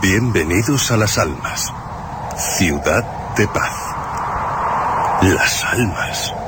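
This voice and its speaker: An actor in a radio drama playing a cocky lone driver who talks to himself in a sardonic, deliberate mutter. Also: male voice, sits low, expressive, very noisy, full character voice. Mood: wry amusement. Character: cocky lone male driver, talking to himself, mocking danger.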